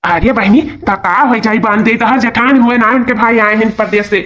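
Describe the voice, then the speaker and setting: A performer voicing a caring young brother back from working abroad in an Awadhi cartoon comedy, calmly soothing and explaining. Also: mid-range voice, fairly quick, lightly acted. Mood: consoling.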